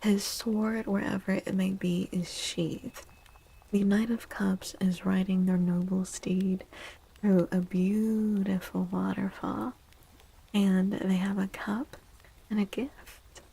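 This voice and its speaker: A woman streamer giving a soft-spoken ASMR tarot reading, gentle and unhurried, pausing between phrases and often slipping into a breathy whisper. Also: medium pitch, level tone, rough recording.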